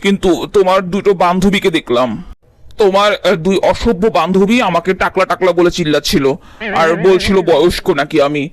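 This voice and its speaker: A man's voice: sits high, fairly even intonation, fairly quick, a crowd in the background, close to an everyday voice. A man voicing a touchy, doting middle-aged groom in a cartoon, with formal, wordy, aggrieved speech. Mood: offended. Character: offended, doting bald groom in his late thirties. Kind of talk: cartoon voice acting.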